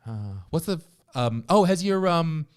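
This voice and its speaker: A man's voice: a little high, lively intonation, unhurried, clean tone. A man hosting a podcast in casual chat, teasing his guest and swearing casually. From foreigner living in Estonia. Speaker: podcast host.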